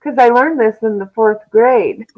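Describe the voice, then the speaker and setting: A woman's voice: a little high, moderate pace, highs cut off. A woman in casual chat who recites lists from memory in an easygoing way.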